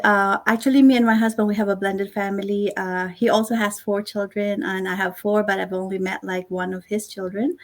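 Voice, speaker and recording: Middle-aged woman from the philippines chatting on a video call, warm, lots of ums and little laughs. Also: mid-range voice. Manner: warm, chatty, many fillers and soft laughs. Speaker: guest. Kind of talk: casual interview chat.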